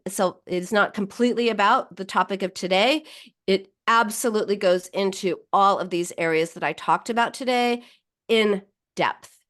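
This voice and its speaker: Woman, radio show host, slightly hoarse, chatty advice monologue, frequent ums and restarts. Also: mid-range voice. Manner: warm advice-giving with frequent fillers and restarts. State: slightly hoarse, losing her voice.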